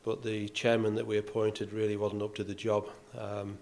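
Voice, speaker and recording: A man's voice: fairly low pitch, completely flat delivery, moderate pace, creaky, a little mellow. Older man, faint yorkshire accent, club talk, dry wit, lots of uh fillers. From Yorkshire, England. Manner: dry self-deprecating humour, many "uh" fillers. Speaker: golf club vice captain giving a talk. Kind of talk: speech to an audience. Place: clubhouse function room.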